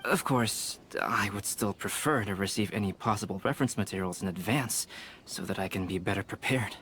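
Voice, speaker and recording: Man playing a calm, scholarly young painter. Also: fairly low pitch, slight background noise, close to an everyday voice. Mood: calm, polite. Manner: composed, articulate, measured. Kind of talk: anime video game voice acting. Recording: music playing behind the voice.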